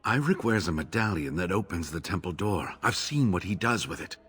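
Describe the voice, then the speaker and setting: An actor playing an older, injured male scholar in a video game, weary and sarcastic, talking in a measured way. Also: deep voice, animated, a bit bright. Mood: earnest. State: injured, exhausted. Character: older male scholar, wounded captive, wry. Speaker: game character.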